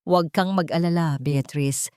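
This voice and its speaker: A woman's voice: low-pitched, normal speed, warm tone, smooth, no echo, close to an everyday voice. A stern, haunted millionaire father from an audio drama, acted out by a woman narrator. He speaks firmly in clipped phrases, guarded and protective. The recording is dry and close. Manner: firm, clipped.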